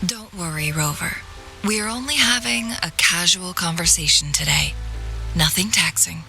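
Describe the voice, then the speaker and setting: A woman playing a composed, courteous strategist in a video game, speaking in a poised, measured, formal way with gentle teasing. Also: lowish voice, gravelly, soft background music, exaggerated voice. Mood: gently teasing. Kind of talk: video game story dialogue.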